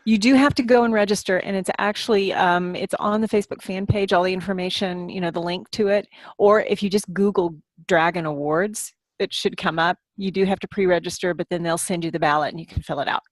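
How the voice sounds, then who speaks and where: A woman's voice: fairly low pitch, fairly even intonation, fairly quick, no room sound. A woman speaking as a co-host in a casual podcast conversation. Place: video call group podcast.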